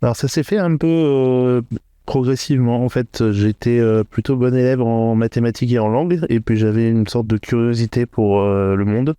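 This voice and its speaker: A middle-aged man from northern France answering interview questions, thoughtful and hesitant, with frequent filler pauses. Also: mid-range voice, fairly even intonation, mellow, clear, resonant tone, no room sound. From France, Hauts-de-France. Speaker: podcast guest, entrepreneur. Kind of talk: interview conversation.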